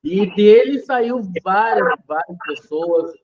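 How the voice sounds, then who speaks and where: A man hosting an interview, speaking in a respectful, affirming way with drawn-out phrases. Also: high voice, animated. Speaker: podcast host, interviewer. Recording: muffled lo-fi recording.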